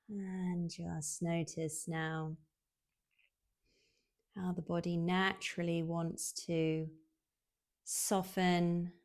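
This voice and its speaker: A woman guiding a relaxation meditation in a soothing, unhurried way, leaving long pauses, with soft, breathy phrase endings. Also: lowish voice. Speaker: yoga nidra guide.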